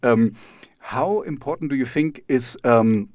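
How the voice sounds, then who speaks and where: A man's voice: mid-range voice, measured pace, a little mellow, no echo. A man with a German accent hosts a casual talk show in a mid-range voice. He rambles and hesitates, approaching each question from several sides. The sound is very dry, with no room around it. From East Germany. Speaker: radio talk show host. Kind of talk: casual conversational interview.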